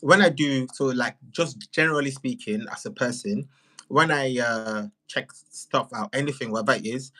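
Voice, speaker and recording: Guy on a casual livestream, medium pitch, animated, repeats words for emphasis. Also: normal speed. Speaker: video creator and livestream guest.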